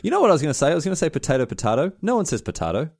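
Lively Australian man joking around. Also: on the high side, racing, gravelly, slightly rough. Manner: joking, sarcastic, animated. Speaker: podcast co-host. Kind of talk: casual banter.

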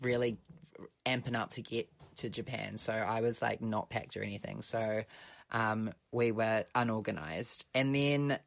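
A man's voice: fairly low pitch, creaky. A man with a New Zealand accent co-hosting a podcast in casual, chatty and playful banter. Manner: chatty, playful, drawn-out teasing. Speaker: podcast co-host. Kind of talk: casual chat.

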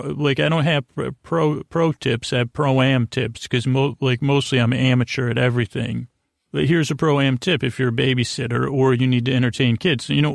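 Man, creaky fry, dry close sound, stammering, meandering bedtime monologue, gently silly. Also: medium pitch, no room sound. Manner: halting, stammering, repeats words and trails off into tangents; self-deprecating, gently silly. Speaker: podcast host. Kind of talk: rambling bedtime podcast monologue.

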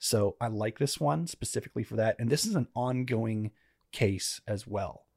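A man's voice: lowish voice, moderate pace, creaky, a little mellow, slightly rough, no room sound, clean studio sound. A young man co-hosting a true crime podcast, chatting casually in an easygoing, joking way. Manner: easygoing, joking, leads the story. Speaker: podcast co-host. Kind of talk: casual chat.

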